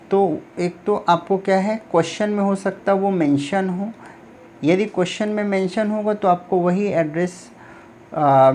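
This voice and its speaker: A man's voice: a little high, a little mellow, slight background noise. A man from India teaching an exam lesson, explaining step by step and repeating points with frequent check-ins. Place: recorded at a computer.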